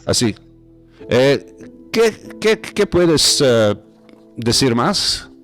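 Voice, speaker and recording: A man hosting an interview in a language that is not his first, speaking haltingly with many pauses and fillers. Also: mid-range voice, sing-song, fairly bright tone, soft background music. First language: not Spanish. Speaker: host. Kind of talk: interview podcast. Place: home, video call.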